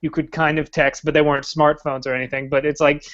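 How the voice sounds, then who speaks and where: A man's voice: a little high, flat delivery, fast, a bit bright. A man in his late twenties with a slightly raised voice tells jokey, profane stories in casual podcast chat, laughing along. The audio is dull and lo-fi. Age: late 20s. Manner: joking, laughing, profane storyteller. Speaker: podcast co-host.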